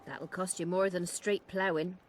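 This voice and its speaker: A woman's voice: on the low side, fairly even intonation, normal speed, slight background noise, close to an everyday voice. A woman playing a brazen, shrewd fantasy brothel madam in a video game, haggling brashly. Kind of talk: video game dialogue acting.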